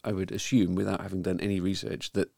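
A man hosting a weekly news talk show, speaking in a measured way with frequent ums. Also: sits low, little pitch movement, vocal fry, warm tone, no room sound, studio quality. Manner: measured, hesitant with many ums. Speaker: podcast host. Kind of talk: casual news discussion.

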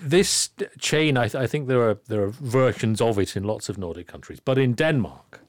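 A man's voice: medium pitch, expressive, moderate pace, no echo, clean studio sound. A middle-aged man hosting a podcast, chatting casually and jokingly with a guest, often restarting his phrases. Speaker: podcast host. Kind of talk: casual conversational interview.

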